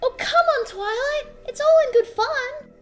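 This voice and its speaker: A woman's voice: very high voice, a little slow. A woman voicing a sweet, chipper little girl pony in a parody cartoon, with music behind her. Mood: playful. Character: sweet squeaky little filly, cheerfully criminal cartoon pony.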